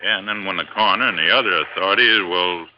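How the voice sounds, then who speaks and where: A man's voice: low-pitched, fast, close to an everyday voice. A man playing a plain-spoken, skeptical lake boatman in 1950s radio drama style. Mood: wry, doubtful. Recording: muffled, noisy old radio recording.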